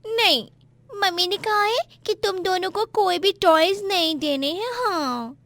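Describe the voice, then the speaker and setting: A woman's voice: very high-pitched, unhurried, sharp tone, pitched above the performer's own voice, heavily acted. A woman voicing a squabbling small child, whiny and indignant, in a children's storybook tale. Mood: bossy refusal.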